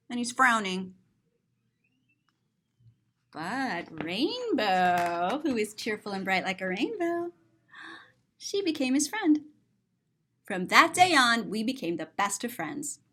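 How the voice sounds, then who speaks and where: Slow, animated woman reading to children. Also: fairly high pitch. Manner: expressive, explains words simply to young children. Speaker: story-time host, teacher. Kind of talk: children's picture-book read-aloud with simple commentary.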